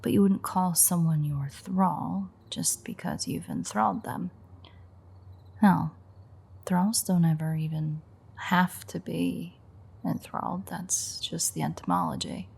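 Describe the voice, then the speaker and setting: A woman playing a teasing, sardonic vampire in an intimate audio roleplay, with a wry, foul-mouthed manner and a breathy, husky voice. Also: fairly low pitch, fairly even intonation, slow, darkish tone, exaggerated voice. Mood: teasing, explaining.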